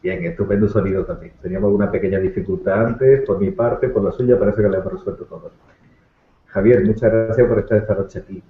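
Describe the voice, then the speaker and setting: A man's voice: fairly low pitch, steady tone, quick pace. A man hosting an online panel, introducing guests in a hesitant way and often restarting his phrases. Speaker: host, moderator. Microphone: video call. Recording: tinny echoey phone-like line.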